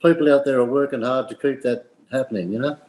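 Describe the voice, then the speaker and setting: Mellow, plain-spoken man. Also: mid-range voice, quick pace. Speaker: train driver guest.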